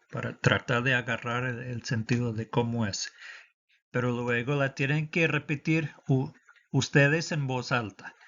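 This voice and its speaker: A man's voice: mid-range voice, fairly bright tone, lo-fi sound. A man teaching a lesson for the citizenship test, dictating patiently and switching between Spanish and English.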